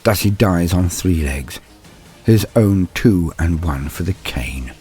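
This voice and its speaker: A man voicing an arrogant, possessed assassin in an audio story, husky, sneering and deliberate in his menace. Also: sits low, lively intonation, a little mellow, soft background music, heavily acted. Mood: smug, scornful.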